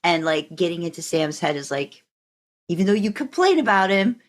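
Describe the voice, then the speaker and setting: A woman with a fairly deep voice hosts a relaxed group conversation. She talks in loose, rambling thoughts and encourages the people she is speaking with. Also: moderate pace. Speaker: podcast host. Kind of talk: casual group discussion.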